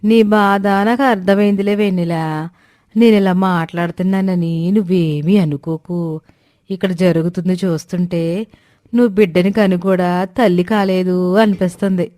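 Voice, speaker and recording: A woman's voice: lowish voice, fairly even intonation, normal speed, warm tone, dry recording, studio quality, close to an everyday voice. A woman acting a meddling, judgmental village gossip in a moral story. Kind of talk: acted moral-story dialogue.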